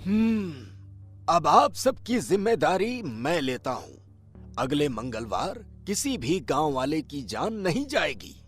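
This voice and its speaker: A man voicing a caring old village headman and grandfather in a cartoon story, measured, earnest and expressive. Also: a little high. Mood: solemn, resolute. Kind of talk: Hindi cartoon story voice acting. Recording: faint music behind.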